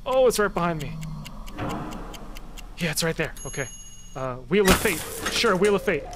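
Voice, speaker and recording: A man giving casual let's-play commentary on a horror game, talking to himself, repeating phrases and getting excitable when startled. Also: high-pitched, normal speed, background noise. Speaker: host, gamer. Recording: loud game soundtrack under the voice. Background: loud horror game music and sound.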